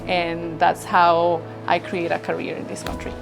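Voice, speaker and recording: Woman founder, 30s, from Ecuador, warm grateful interview talk, music under her. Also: on the low side, completely flat delivery, unhurried, gravelly, rough recording. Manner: earnest, grateful storytelling. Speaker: business founder. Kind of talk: interview answers. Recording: background music bed.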